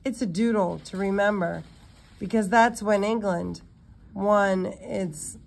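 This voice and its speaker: A woman's voice: medium pitch, slow, gravelly, darkish tone. Woman voicing a chummy, wry British hotel man. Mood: matter-of-fact.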